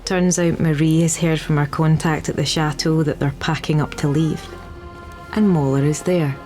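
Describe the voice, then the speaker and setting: Resistance fighter voiced by a woman, lowish pitch, wry and bantering, war game cutscene, light music. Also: fairly even intonation, normal speed, a little mellow, close to an everyday voice. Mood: wry, pleased. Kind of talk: cinematic war game dialogue. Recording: music under the voice.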